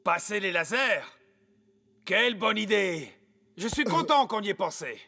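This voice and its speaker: A man voicing a playful, cocky young male footballer in an animated series, exclamatory and teasing. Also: high voice, measured pace, full character voice. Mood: excited. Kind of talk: French animated series dubbing. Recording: loud music behind the voice. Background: loud music.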